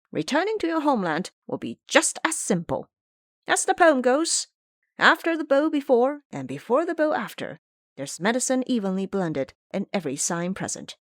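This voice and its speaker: Audio drama monkey disciple, male, played by a woman: clever, emphatic lecturer, swooping and animated. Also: a little high, no echo, studio quality, pitched above the performer's own voice. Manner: didactic, emphatic.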